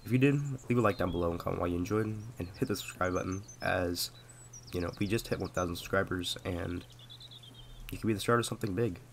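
Low-voiced sick young man narrating. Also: fast, slight background noise. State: sick, voice sounds off. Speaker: history video narrator. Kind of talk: scripted narration.

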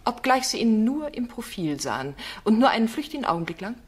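A woman's voice: on the high side, very animated, a little fast, husky. An actress playing a bold, insistent female defence barrister in a German crime radio play. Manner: pointed, insistent cross-examining.

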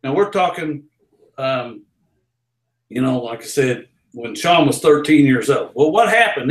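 An older man in his sixties with a Southern American accent, telling stories as an interview guest in a folksy, loud carnival-barker manner, recorded by a far camera in a small office. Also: mid-range voice. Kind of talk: storytelling in a casual interview. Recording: roomy office echo.

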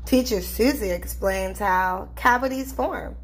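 A woman reading a children's storybook aloud in an expressive, deliberate narrating style. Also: medium pitch, a little hiss or hum.

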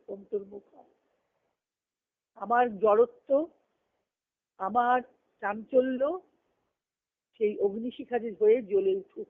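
A woman giving an earnest, emphatic devotional discourse over a conference phone line, reciting scripture and verse and pausing between short phrases. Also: on the high side. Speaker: spiritual discourse speaker. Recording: tinny band-limited conference phone call.

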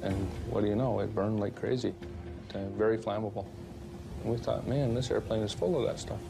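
Older man, accident investigator, interview, folksy, low voice, flat delivery, music behind. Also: fairly quick, creaky. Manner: plain-spoken, folksy. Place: dark studio interview set. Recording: music laid under the interview.

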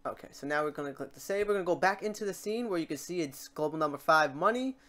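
A man hosting a casual software tutorial, informal and halting as he thinks aloud. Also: on the high side, animated. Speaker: tutorial host. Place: indoors at a computer, keyboard typing behind.

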